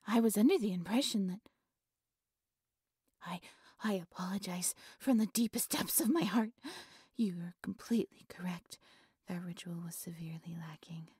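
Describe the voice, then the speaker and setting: Woman acting a breathy, whispery, worshipful dark priestess. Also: on the low side, moderate pace, pauses often, no room sound, clean studio sound. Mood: contrite, reverent. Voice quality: soft, breathy, often whispery. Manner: reverent, formal, fawning. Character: devoted, obsessive young high priestess worshipping her dark god. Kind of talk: breathy audio roleplay acting.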